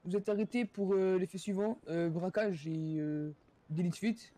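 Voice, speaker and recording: A man improvising as a police officer in an online role-play game, speaking in a halting, formal way. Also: high-pitched, steady tone, mellow, dry recording.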